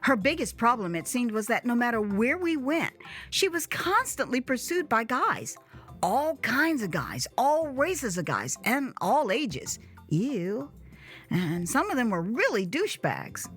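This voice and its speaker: A woman reading her own memoir as dramatized storytelling, with a theatrical, expressive and emphatic delivery. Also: a little high, measured pace, soft background music. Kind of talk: dramatized first-person storytelling.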